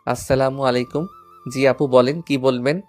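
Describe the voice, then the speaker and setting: A man's voice: mid-range voice, fairly even intonation, moderate pace, close to an everyday voice. A man plays a devoted schoolboy in an audio love story. He is earnest and sentimental, with little rise and fall in his voice. Faint music plays behind him. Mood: nervous, polite. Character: lovesick, devoted schoolboy, respectful to elders. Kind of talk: Bengali audio story acting.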